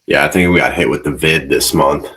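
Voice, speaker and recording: Laid-back man with a very deep voice. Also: a little fast, a bit bright, slight background noise. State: congested. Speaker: podcast co-host. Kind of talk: casual chat.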